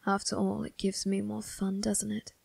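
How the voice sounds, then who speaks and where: A woman playing a cold, menacing young male vampire crime boss in an ASMR roleplay, speaking in a breathy, husky half-whisper with a measured, condescending manner. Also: medium pitch, monotone, a little mellow, no room sound. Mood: bitter contempt. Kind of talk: ASMR character roleplay addressed to the listener.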